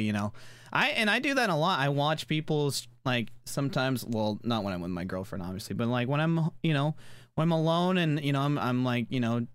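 A man's voice: mid-range voice, clear, resonant tone, dry recording. A man hosting a casual podcast interview, rambling through his questions with many fillers and restarts. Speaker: podcast host.

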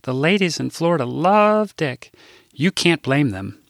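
A man's voice: fairly high pitch, expressive, unhurried, vocal fry, no echo, studio quality, pitched above the performer's own voice. A man voicing a cheerful, innocent Midwestern grandmother over 60, earnest and sing-song with a Midwestern accent. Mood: gushing.

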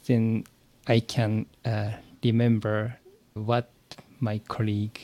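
A man with a Japanese accent, a native Japanese speaker, giving careful, halting interview answers in English as a podcast guest and learner. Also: lowish voice, monotone, warm tone. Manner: hesitant, searching for words, halting phrases.

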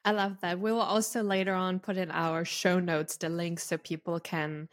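A woman's voice: fairly low pitch, little pitch movement, normal speed. A woman from Austria with an Austrian accent, hosting an interview podcast and chatting enthusiastically, often laughing. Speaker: podcast host and coach. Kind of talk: casual interview chat.

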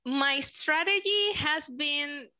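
Bright-voiced Puerto Rican woman. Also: high voice, slow. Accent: Puerto Rican Spanish. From Puerto Rico. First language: Spanish. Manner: searching for words, frequent fillers. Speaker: social media manager, guest. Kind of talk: casual interview answers.

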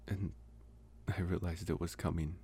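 A man playing a lonely, gentle young college vampire in an intimate ASMR roleplay, speaking softly and haltingly with pauses. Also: low voice, little pitch movement, breathy edge, heavily acted. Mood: reflective, hesitant. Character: lonely, soft-spoken young male college vampire, politely devoted. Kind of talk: ASMR roleplay, intimate one-sided dialogue.

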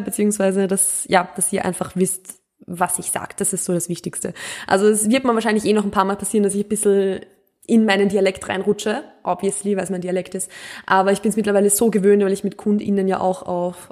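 A woman's voice: mid-range voice, a little mellow. A woman in her twenties from Austria, hosting a podcast in casual solo chat, with an Upper Austrian accent showing through her standard German, laughing often and dropping in English words. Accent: Upper Austrian (Mühlviertel), speaking standard German. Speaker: podcast host.

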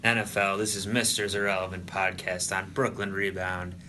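A man's voice: sits low, flat delivery, normal speed, bright, forceful tone, a little hiss or hum. A young man hosting a casual sports podcast, normally laid back but trying to bring extra energy. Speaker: podcast host.

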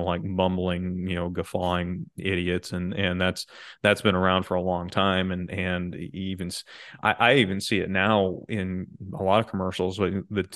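A man speaking casually on a podcast, reflective and halting, often repeating words as he works through a thought. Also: sits low, a bit bright, no room sound, clean studio sound. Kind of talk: casual discussion.

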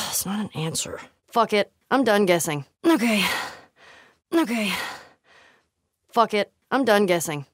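Young woman survivor, tough, terse, wry, unhurried, dry close sound, naturalistic game acting. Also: on the high side, dry recording. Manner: muttering, terse, casually profane.

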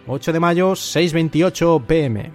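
A man's voice: on the high side, unhurried, a little mellow, faint music behind. A man from Spain with a Castilian Spanish accent, hosting a solo podcast and telling stories in a conversational way. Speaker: podcast host. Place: at home.

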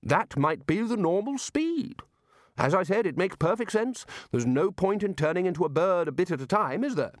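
A man's voice: lively intonation, normal speed, no room sound, clean studio sound. A man voicing a rowdy, bossy older head wizard who blusters and lays down the law. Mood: blustering. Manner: blustering, booming, sure of himself.

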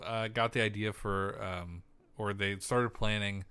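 A man's voice: on the low side, fairly even intonation, a little slow, sharp tone, smooth, no echo. Rambling man on a close, dry mic. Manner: rambling storyteller, jokey asides. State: losing his voice. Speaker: podcast co-host. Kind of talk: casual movie podcast chat.

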